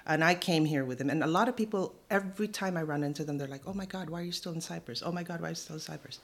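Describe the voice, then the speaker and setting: A middle-aged woman with an American accent speaks in a low register. She talks casually as an interview guest, telling personal stories and slipping into quoted dialogue as she goes. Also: very fast. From Philippines and United States. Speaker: interview guest, newspaper editor. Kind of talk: casual podcast conversation.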